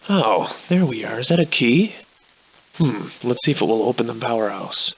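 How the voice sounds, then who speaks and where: Surly old-time lush, video game hero, florid theatrical diction, grandiloquent, mid-pitched, slightly dull recording. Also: male voice, lively intonation, normal speed, breathy edge. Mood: weary, wry. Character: surly hard-drinking old-time scoundrel, grandiloquent survivor. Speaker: video game protagonist voice actor.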